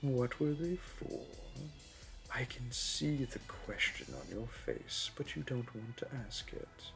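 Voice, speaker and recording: A man playing a smug, flirty supervillain in an audio roleplay, talking in a teasing, theatrical, unhurried way. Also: medium pitch, expressive, gravelly, a bit bright, exaggerated voice. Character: smug, flirty male supervillain hosting a hero in his lair. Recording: music behind. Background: background music.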